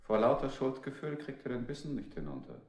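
Lively callous male tormentor. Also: medium pitch, fairly quick. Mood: callous, taunting. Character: cruel male tormentor in an interrogation gang. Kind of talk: avant-garde radio play. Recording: echoey old radio play recording.

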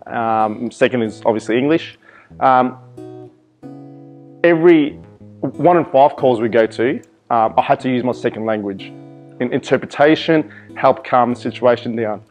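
A man's voice: medium pitch, moderate pace, darkish tone, faint music behind. Earnest young man, native Arabic speaker. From Iraq. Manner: earnest, hesitant with many ums and restarts. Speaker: volunteer firefighter. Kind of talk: interview answers. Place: fire station office.

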